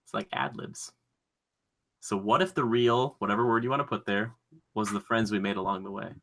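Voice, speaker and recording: A man's voice: fairly low pitch, fairly even intonation, fairly quick, fairly bright tone. A man hosting a podcast in a casual, chatty, joking style. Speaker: podcast host.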